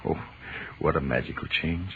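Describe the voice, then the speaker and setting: An actor playing a playful, doting astronomy professor husband in 1930s radio drama, with teasing, flowery, theatrical speech. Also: male voice, rumbling low, normal speed. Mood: romantic. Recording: muffled, noisy 1930s radio recording.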